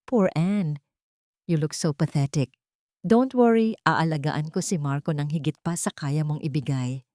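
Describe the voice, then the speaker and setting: A woman's voice: low-pitched, a little slow, dark tone, dry recording, studio quality. Woman voicing a smug, taunting mistress. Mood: mock pity. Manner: taunting, condescending. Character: smug, mocking young mistress, falsely sweet.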